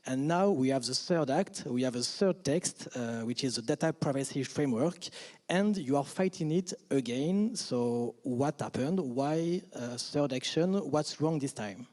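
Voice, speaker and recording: A French man speaking English with a French accent, asking interview questions with hesitant, halting phrasing. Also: mid-range voice, animated, darkish tone.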